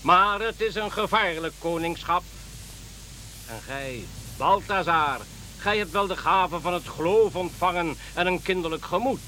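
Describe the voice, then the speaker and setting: A man's voice: high voice, unhurried, bright, forceful tone, rough recording. A man playing a grave, devout king in a radio play, speaking formally like a preacher. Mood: solemn exhortation. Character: solemn, devout king following a star. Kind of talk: Dutch radio play acting. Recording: faint background hiss.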